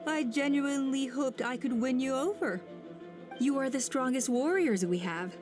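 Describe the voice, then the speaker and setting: A cold, scheming usurper, played by a woman, who talks calmly and menacingly in a slightly high voice, full of condescension. It sounds like a video game villain's cutscene. Strong music plays under her lines. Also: normal speed, natural voice.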